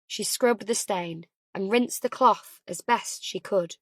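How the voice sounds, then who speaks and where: A woman narrates fiction for an audiobook. Her voice sits at a middling pitch and follows a written story, recorded very dry with no room around it. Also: slow, no echo.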